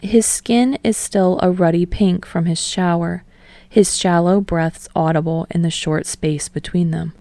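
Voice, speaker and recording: A woman's voice: fairly low pitch, steady tone, measured pace, mellow, no room sound. A woman reading a story aloud as scripted audiobook narration. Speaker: audiobook reader of fan fiction.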